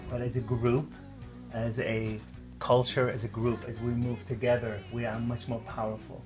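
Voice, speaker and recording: An earnest man speaking as an indie film director, thinking aloud with a halting delivery. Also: medium pitch, level tone. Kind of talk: interview answers and addressing a group. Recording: background guitar music. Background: music.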